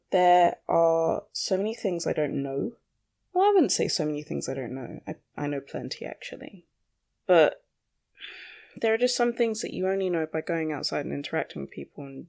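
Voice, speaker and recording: A young adult podcast host with a creaky voice, rambling casually alone in a blunt, sweary, self-questioning way. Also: medium pitch, darkish tone. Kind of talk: casual solo rambling monologue.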